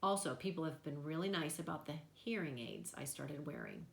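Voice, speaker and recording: A woman giving an expressive read-aloud of a children's novel. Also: deep voice, brisk, creaky, echoey room.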